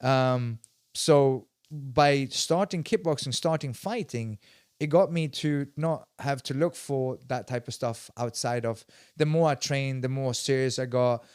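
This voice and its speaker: A man's voice: medium pitch, a little slow, a little mellow, clean tone, dry recording, studio quality. A man in his forties from Sweden, a martial arts instructor telling his story as a podcast guest in emphatic bursts, often restarting his sentences. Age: 40s. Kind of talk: casual interview storytelling.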